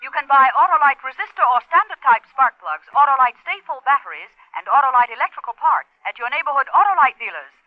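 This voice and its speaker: A woman's voice: sits high, expressive, quick pace, few pauses, dry recording. A woman delivering a scripted advertising tag on 1950s radio. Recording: tinny telephone-like 1950s radio recording.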